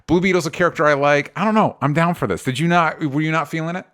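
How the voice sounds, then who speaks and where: A man hosting a casual pop culture podcast, talking informally in a halting, rambling way with self-interruptions and the odd mild swear. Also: fairly high pitch, a bit bright. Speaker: podcast host.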